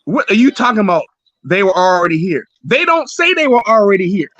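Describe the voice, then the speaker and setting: A man's voice: sits high, sing-song, brisk, fairly bright tone, no echo, dull audio. A man hosting a livestream, arguing heatedly and repeating himself, sometimes swearing. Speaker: livestream host. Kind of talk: heated casual debate.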